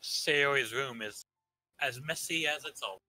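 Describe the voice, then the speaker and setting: Bright, forceful man narrating a story. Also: mid-range voice, measured pace, faint music behind. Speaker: narrator in group staged reading. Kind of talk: scripted narration of a visual novel.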